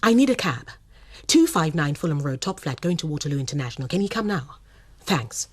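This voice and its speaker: An actress in a radio drama playing a brisk, resourceful woman fraudster on the run. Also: sits low, very animated, slightly rough, heavily acted. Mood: urgent, businesslike.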